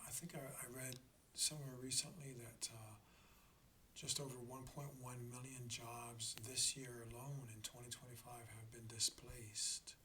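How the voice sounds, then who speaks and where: A slightly hoarse man hosting a reflective spiritual talk, speaking in a halting, deliberate way and often checking in with the listener. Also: mid-range voice, bright, forceful tone, slight background noise. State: slightly hoarse after a throat infection. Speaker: host of a spiritual learning channel.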